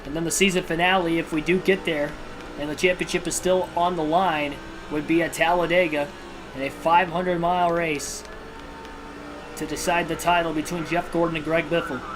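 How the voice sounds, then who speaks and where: A man hosting a livestream and giving realistic play-by-play commentary on a racing video game, in a steady broadcaster style with casual personal asides. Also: fairly high pitch, moderate pace. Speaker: livestream host and race commentator. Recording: video game music clearly behind voice.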